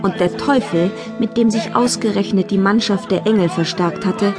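A woman narrating a children's Christmas tale in a measured, wryly humorous storybook style. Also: mid-range voice, lightly acted. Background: music.

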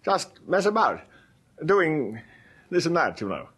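Big, sheepish young English chap, radio comedy. Fairly high, husky voice, curt, hesitant and guiltily evasive. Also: male voice, sing-song, a little slow, a bit bright, full character voice.

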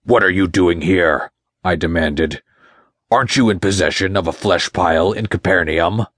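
A man's voice: sits low, moderate pace, a bit bright, no echo, studio quality. A man voicing a pompous, menacing Satan, wrathful king of demons, in a dramatic first-person audiobook narration. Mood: angry, scornful.

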